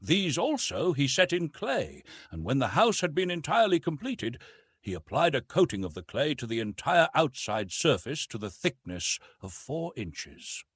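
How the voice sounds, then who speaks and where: A man narrating classic adventure fiction as an audiobook, with dramatic, deliberate phrasing. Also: medium pitch, big pitch swings, husky, dry recording, clean studio sound.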